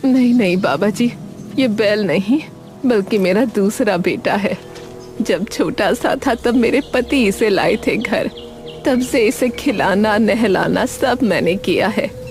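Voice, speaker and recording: A woman voicing an anxious, devoted elderly village mother in an animated story, hesitant and pleading. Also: medium pitch, slow. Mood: humble, tender. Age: older. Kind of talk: Hindi animated story dialogue. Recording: music under the voice.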